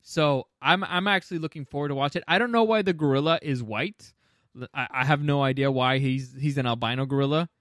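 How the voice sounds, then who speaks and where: A man's voice: medium pitch, fairly quick, a bit bright, no echo, studio quality. A man co-hosting a podcast in casual, informal chat, with some swearing. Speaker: podcast co-host. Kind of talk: casual podcast chat ranking video game movies.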